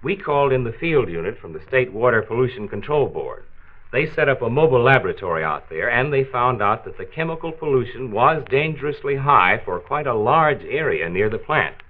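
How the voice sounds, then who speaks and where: A man acts a genial health commissioner in a 1940s radio play. His delivery is lively and varied, patient and avuncular as he explains. The sound is narrow and tinny, from an old transcription disc. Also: mid-range voice, a little fast, lightly acted. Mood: serious, patient. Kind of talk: 1940s radio drama acting.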